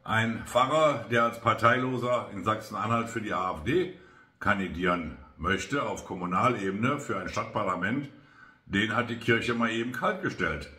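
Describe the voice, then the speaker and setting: A man in his fifties giving political commentary to camera in short, measured phrases, recorded indoors in a bare room. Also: on the low side, sharp tone. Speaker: politician hosting a weekly video review. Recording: roomy indoor echo.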